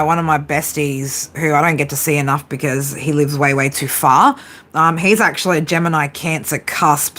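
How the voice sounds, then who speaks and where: A woman reading tarot cards live for her viewers. Her voice sits a little low, and she talks in a relaxed, chatty way, swearing casually as she goes. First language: English. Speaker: tarot reader.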